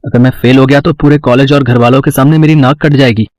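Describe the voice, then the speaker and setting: A man plays a twenty-one-year-old male student smitten in an audio story. He is sly, pleading and flattering, with hesitant delivery. The pitch is average and the sound is dry. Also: quick pace, dry recording, natural voice. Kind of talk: acted story dialogue.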